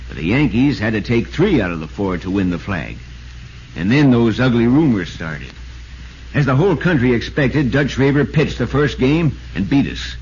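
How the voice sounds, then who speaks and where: Animated American newspaperman in vintage radio drama played by a man. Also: mid-range voice, normal speed, lightly acted. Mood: dramatic storytelling. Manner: dramatic, insistent. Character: earnest, urgent male baseball reporter telling his story. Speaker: narrator and actor in a radio drama. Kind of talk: 1940s radio acting, dramatic narration. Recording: muffled, noisy vintage radio recording.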